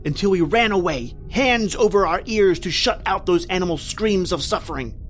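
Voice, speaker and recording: A man's voice: high voice, moderate pace, fairly bright tone, faint music behind. An actor playing an anguished married man trapped in prehistoric time, telling his horror in melodramatic old-time radio style. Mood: haunted, horrified. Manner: melodramatic, intense, repetitive narration.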